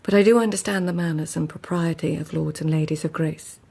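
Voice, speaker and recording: An actress playing a proud, witty court lady in a radio drama, with formal, measured speech and a husky, creaky voice. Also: low voice, fairly even intonation, warm tone, heavily acted. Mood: deferential, careful. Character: proud, witty Japanese court lady, deferential yet flustered. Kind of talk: radio drama acting with first-person narration.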